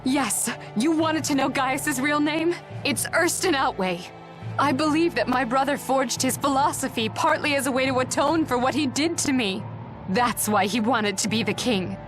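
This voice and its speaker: A woman's voice: sits high, moderate pace, natural voice. An actress playing a proud, disillusioned noblewoman in an English video game. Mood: bitter. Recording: background music under the voice.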